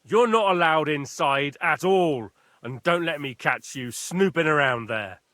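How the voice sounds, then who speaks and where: A gruff castle guard. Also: male voice, fairly high pitch, animated, measured pace, sharp tone, no echo, clean studio sound. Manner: gruff, curt. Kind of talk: video game voice acting.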